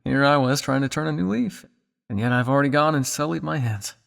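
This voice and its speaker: A man playing a weary, guilt-ridden old vampire scientist in an ASMR roleplay, speaking to the listener in a measured, dryly formal way. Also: medium pitch, creaky, fairly bright tone, in a large hall. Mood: somber, dry.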